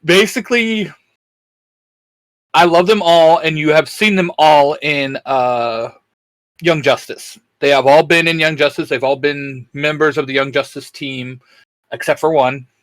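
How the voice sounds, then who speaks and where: A man with a slightly high-pitched voice co-hosts a casual fan discussion. The sound is very dry, with no room echo, and he talks informally as one fan to another. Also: steady tone, a little slow. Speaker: co-host of a geek-culture discussion show. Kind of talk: casual fan chat about comics.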